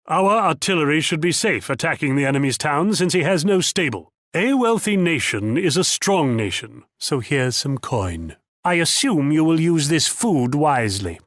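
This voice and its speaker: A shrewd, moneyed Dutch commander for a strategy video game. His voice sits a little high for a man and swings freely up and down, captured close and dry. He sounds pompous and theatrical, talking down to his listener with proud self-assurance. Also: very animated, normal speed, few pauses, fairly bright tone, no echo. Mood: confident, patronizing. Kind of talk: video game character voice lines.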